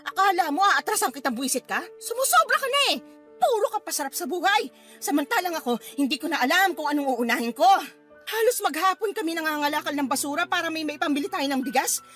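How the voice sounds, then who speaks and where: A woman's voice: high voice, animated, quick pace, a bit bright, faint music behind. An actress playing a feisty, fed-up Filipino mother in a radio drama, scolding her drunkard partner in a combative, emphatic way. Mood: angry, exasperated.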